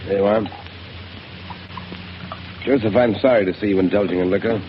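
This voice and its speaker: A smooth, coldly threatening villain voiced by a man with a low voice that speaks with mocking courtesy. The sound is a hissy, dull old radio broadcast, and steady static runs underneath it. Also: normal speed, natural voice. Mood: menacing. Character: cold, menacing rich male pirate mastermind. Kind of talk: 1930s radio drama acting.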